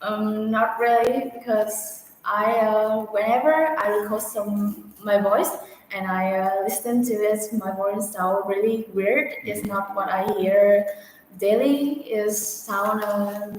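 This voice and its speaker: A woman answers test questions slowly, pausing often and filling gaps with hesitant sounds. Her pitch is mid-range for a woman. She is in a very echoey room with long reverb and faint noise behind her. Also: fairly even intonation. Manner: hesitant, halting answers with many fillers. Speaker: test candidate. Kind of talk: practice speaking-test answers.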